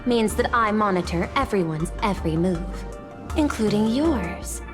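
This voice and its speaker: A woman's voice: medium pitch, animated, measured pace, close to an everyday voice. A woman as a cool, precise corporate official. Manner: clipped, precise. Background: game music.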